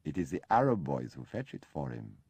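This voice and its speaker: A man reading an audiobook, voicing a gentle, simple-minded lay brother who speaks softly and fondly. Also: extremely low pitch, very animated, fairly quick, slightly rough, no room sound, heavily acted. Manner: soft, fond, confiding.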